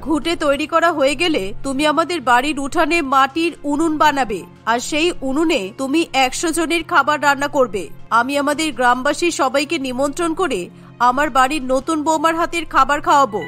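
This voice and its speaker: A woman's voice: sits high, fairly quick, pushed and bright, faint music behind. A woman voicing a stern, domineering older mother-in-law in a cartoon, with a commanding manner. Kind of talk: cartoon voice acting.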